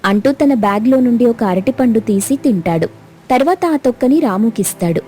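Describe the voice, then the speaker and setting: A woman narrating a cartoon story in a steady, plain storytelling manner. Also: on the high side, normal speed, faint music behind.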